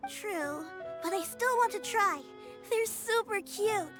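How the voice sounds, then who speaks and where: A woman's voice: very high-pitched, takes it slowly. A woman voicing a cute, eager little girl in a game cutscene, babyish and wide-eyed. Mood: eager, pleading. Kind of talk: video game cutscene acting. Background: music.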